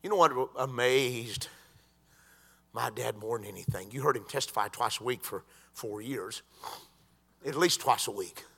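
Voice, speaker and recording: A man preaching a sermon in a church, swinging from quiet talk to shouted crescendos. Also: medium pitch, measured pace, creaky, breathy edge.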